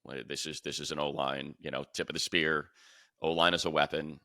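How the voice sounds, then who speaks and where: A man's voice: rumbling low, flat delivery, a little fast, pushed and bright, dry recording, studio quality. A middle-aged American man hosting a casual sports discussion, speaking emphatically and with firm opinions. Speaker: sports podcast host, play-by-play announcer.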